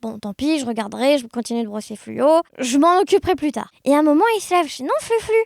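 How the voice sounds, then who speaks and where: A woman chatting casually as an interview guest, telling anecdotes in an animated, conversational way. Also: high voice, fast, vocal fry, no room sound, clean studio sound. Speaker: podcast guest, blind guide dog user sharing experiences.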